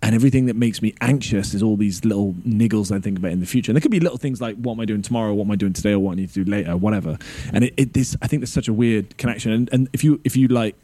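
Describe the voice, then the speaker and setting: A man's voice: fairly low pitch, rapid-fire, hardly any pauses, mellow, no echo. A man hosting a podcast, musing reflectively in casual conversation.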